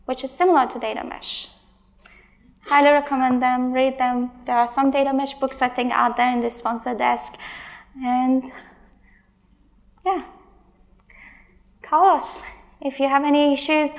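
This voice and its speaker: A woman in her thirties giving a conference talk on stage, emphatic, with exasperated asides. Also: high voice. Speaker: conference speaker. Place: large stage in a hall.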